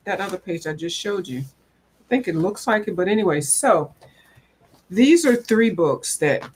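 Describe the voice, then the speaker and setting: A woman chatting casually to viewers in a folksy, rambling way. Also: on the low side. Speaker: coloring channel host. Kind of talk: casual chat to viewers about coloring books.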